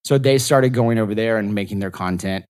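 A man's voice: fairly low pitch, fairly quick, a little mellow, clear, resonant tone. A man, a podcast guest and comedian, telling stories in a loose, rambling way full of "like". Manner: rambling, lots of "like" fillers. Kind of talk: casual storytelling interview.

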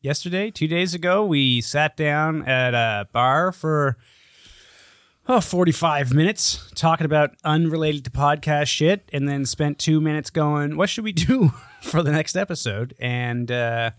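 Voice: medium pitch, unhurried, dry recording. A co-host with a mid-range voice chats casually on a podcast. The delivery is teasing and sarcastic, with plenty of swearing, in a very dry recording. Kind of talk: casual, profane podcast banter.

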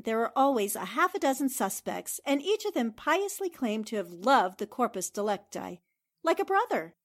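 Inquisitive, animated lady reporter, played by a woman. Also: fairly high pitch. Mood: amused. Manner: articulate, probing. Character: inquisitive, self-assured woman newspaper reporter playing detective. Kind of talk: 1940s radio drama acting.

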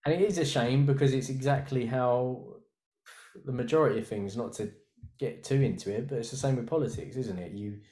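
A man's voice: mid-range voice, brisk, dark tone, roomy sound. A man in his thirties, a designer guest on a chat podcast, who rambles and thinks aloud with many restarts. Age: 30s.

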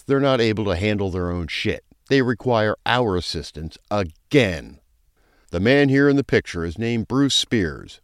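A man's voice: on the low side, no room sound, studio quality, natural voice. A man playing a brusque, foul-mouthed, sarcastic police chief in a crime audio drama. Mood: scornful, commanding. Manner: brusque, commanding, crude briefing delivery.

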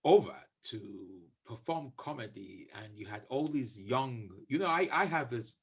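A middle-aged man hosting a casual comedy interview, putting questions haltingly and repeating words as he goes. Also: medium pitch, expressive, slightly rough. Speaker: podcast host, comedian.

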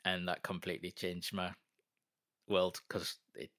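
Man, 40s, low bright voice, rambling self-deprecating guest. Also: flat delivery, unhurried, no echo, studio quality. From North East England. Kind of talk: casual interview chat.

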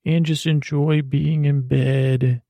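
A man's voice: fairly high pitch, flat delivery, slow, dark tone, no room sound. A man hosting a bedtime podcast, rambling in a meandering, stammering way that trails off and changes subject. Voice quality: creaky, gravelly. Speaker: podcast host. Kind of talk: rambling bedtime monologue to help listeners sleep.

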